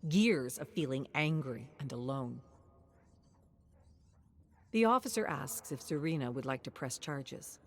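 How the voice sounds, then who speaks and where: A woman with a low voice narrates a dramatic story from a script. Faint ambient noise sits behind her narration. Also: expressive, a little slow, pauses often.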